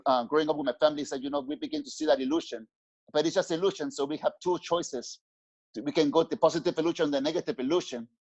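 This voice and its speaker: A man's voice: fairly high pitch, darkish tone. A middle-aged man from Mexico, a spiritual teacher speaking earnestly as an interview guest on a headset in a small home room, often restarting his words. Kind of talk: reflective interview talk. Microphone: headset on video call. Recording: dull lo-fi call audio.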